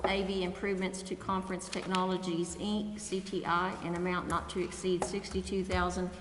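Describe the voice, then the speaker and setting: A woman's voice: lowish voice, level tone, normal speed. A woman speaking as a council member, making formal motions and asking questions, in an echoey hall with background noise. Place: council chamber, indoors.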